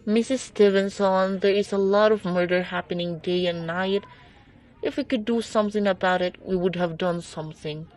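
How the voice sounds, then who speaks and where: A woman's voice: medium pitch, steady tone, moderate pace, darkish tone, close to an everyday voice, poor audio. A young woman acting in a student radio drama as a placating, dismissive police officer on duty.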